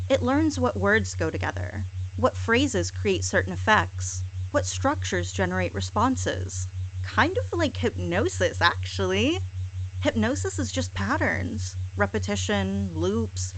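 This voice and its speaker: A woman delivering a scripted monologue in a sarcastic, deliberate manner, with repetitive phrasing. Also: medium pitch, poor audio. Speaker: hypnosis audio performer. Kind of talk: scripted monologue, mock apology.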